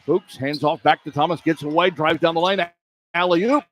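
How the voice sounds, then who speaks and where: A man's voice: fairly high pitch, moderate pace. A man doing live radio play-by-play of a basketball game in short, clipped phrases as the announcer. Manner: clipped phrases, animated on big plays. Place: indoor college basketball arena. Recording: live arena broadcast with background noise. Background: arena ambience.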